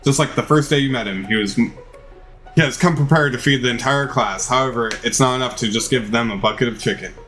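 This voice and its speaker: A man's voice: medium pitch, quick pace, a bit bright. Male game-playthrough narrator, mid-range voice, theatrical read with small stumbles, music underneath. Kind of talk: dramatized reading of game text. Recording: music behind the voice.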